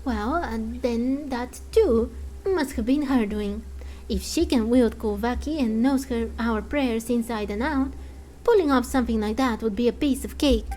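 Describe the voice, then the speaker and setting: A woman voicing an indignant, sharp-tongued zealous believer in a fantasy game, scornful and emphatic. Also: fairly high pitch, unhurried, slight background noise, close to an everyday voice, low-quality recording.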